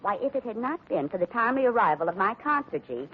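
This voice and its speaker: An actress playing a brassy, indignant nightclub dame with haughty airs and a garrulous manner in 1940s radio drama. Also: female voice, medium pitch, sing-song, quick pace, lightly acted. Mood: indignant, catty. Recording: narrow-band, lightly noisy 1940s radio recording.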